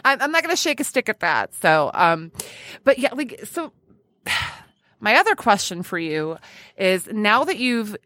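A woman's voice: mid-range voice, big pitch swings, a little slow, pushed and bright, dry recording, clean studio sound. A woman hosting a podcast in a casual, chatty, self-deprecating and giggly way. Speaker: podcast host.

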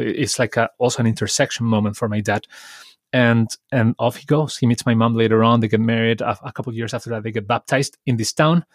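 A man hosts a conversational podcast, recounting memories in a reflective, easygoing way. His voice sits at a medium pitch and is recorded dry and close, with no room sound. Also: no echo. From Chile. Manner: reflective, storytelling, lightly laughing. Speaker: podcast host.

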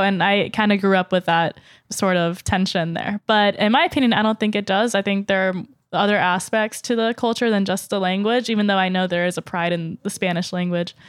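A woman's voice: medium pitch, no echo, clean studio sound. A young woman who grew up in the United States, a guest in a casual podcast talk, speaking openly and thoughtfully with easy laughs. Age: young adult. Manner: thoughtful, open, laughs easily. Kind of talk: casual group conversation.

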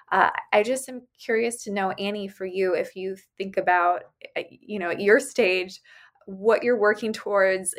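A woman's voice: mid-range voice, fairly bright tone. A woman co-hosting a podcast in casual chat, asking questions and laughing easily. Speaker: podcast co-host.

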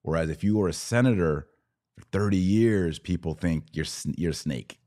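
Deep-voiced American male commentator. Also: normal speed, mellow. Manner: emphatic, preachy, conversational. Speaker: podcast host and religious commentator. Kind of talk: live religious and political commentary, answering viewer comments.

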